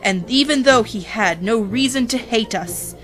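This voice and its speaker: A woman reads a rhyming parody storybook as its narrator, slowly and theatrically. She is playful and dramatic, leaning on key words, with music underneath. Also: fairly high pitch, fairly bright tone. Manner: dramatic, playful, drawn-out emphasis on repeated words.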